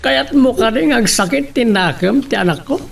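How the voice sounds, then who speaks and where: A male actor plays a stern, protective father in a radio drama. His voice is high and creaky, heard a little away from the microphone with music behind it. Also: lively intonation, moderate pace, full character voice. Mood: stern, reproachful. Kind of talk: Ilocano radio drama acting. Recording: distant mic over music bed.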